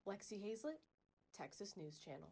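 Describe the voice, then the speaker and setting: A young woman reporting for a student news program, her pitch rising and falling in a lively way as she stresses each dollar figure. Her delivery is scripted and clear, with a bouncy news cadence. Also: normal speed.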